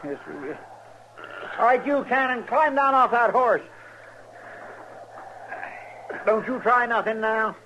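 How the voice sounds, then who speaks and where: An actor playing a loyal, anxious deputy in an old radio western, with a folksy rural American drawl and halting, deferential speech. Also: male voice, very high-pitched, lively intonation, sharp tone, exaggerated voice. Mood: alarmed, helpful. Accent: rural American West drawl. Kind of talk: 1950s American radio drama acting. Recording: noisy, muffled vintage radio recording.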